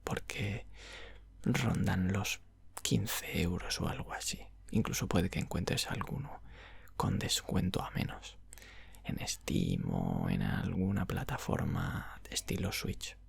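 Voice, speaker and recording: A man from an ASMR roleplay playing a friendly, chatty video game shop clerk, whispering casually up close with a breathy Castilian Spanish voice. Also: low voice, big pitch swings, slow, no echo, heavily acted. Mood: soft, friendly, relaxed. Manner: informal, explanatory, frequent check-in tags. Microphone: close microphone.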